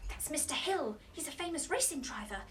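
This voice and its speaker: A woman's voice: high-pitched, expressive, a little fast, a bit bright, voice pushed up. A woman voicing a frustrated, scornful nanny in a novel reading, curt and exasperated. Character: frustrated, scornful nanny minding a naughty boy. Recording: distant mic in a noisy room.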